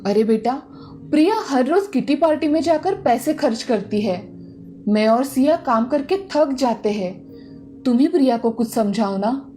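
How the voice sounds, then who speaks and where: A woman's voice: high voice, expressive, a little slow, dark tone, faint music behind. A woman playing an older, scolding mother-in-law in a cartoon moral story. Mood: complaining.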